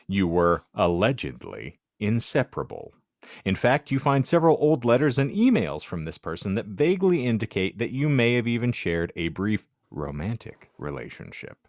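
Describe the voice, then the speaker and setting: Low-voiced man, very animated podcast co-host. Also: dry recording, studio quality. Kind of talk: casual group banter mixed with reading a card aloud.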